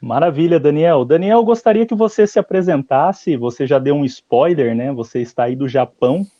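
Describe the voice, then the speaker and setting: A Brazilian man with a Brazilian accent hosting a live interview, courteous and formal, filling pauses with "né". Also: fairly high pitch, lively intonation, warm tone, dry recording. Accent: Brazilian Portuguese. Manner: courteous, formal phrasing with frequent "né". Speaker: host. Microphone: video call. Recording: slightly muffled video-call audio.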